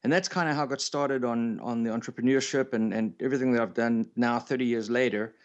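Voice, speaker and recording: A South African-accented man in his fifties speaks as a guest on a radio show. His pitch is average and the sound is a little dull, as over a remote connection. He tells things in an easy, good-humoured, conversational way. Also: fairly even intonation, fast talker. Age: 50s. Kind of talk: casual interview storytelling.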